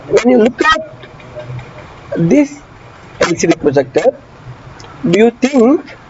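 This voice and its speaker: Male lecturer, high voice, repetitive teacherly drilling, online class; muffled audio, traffic audible. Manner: repeats key phrases slowly, drilling students; asks students frequent check questions. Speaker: engineering lecturer. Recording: dull muffled audio with traffic noise behind.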